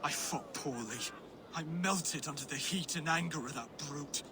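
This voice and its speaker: An actor playing an earnest, merciful Saxon king-to-be in a video game, speaking formally and gently. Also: a little high. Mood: gentle, earnest. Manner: formal, pleading, measured speech. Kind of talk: video game cutscene acting. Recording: clear background noise under dialogue.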